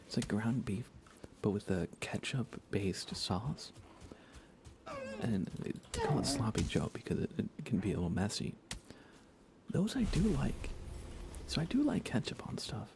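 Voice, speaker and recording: An American man speaking in soft, breathy whispers, chatting casually and unhurriedly to viewers of an ASMR livestream. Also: fairly low pitch, wildly swooping pitch. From United States. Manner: hushed, unhurried, conversational.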